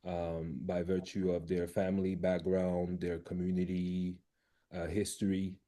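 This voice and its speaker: A man from Cameroon who directs a research lab, presenting on a video call and speaking haltingly, with many fillers and restarts. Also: sits low, monotone, dark tone.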